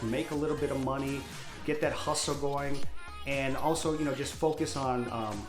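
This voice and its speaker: A man's voice: medium pitch, reverberant. A man in his fifties from Hawaii, introducing himself to camera as a real estate agent in a candid, upbeat way. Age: 50s. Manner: candid, talkative, upbeat salesman. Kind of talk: direct-to-camera self-introduction.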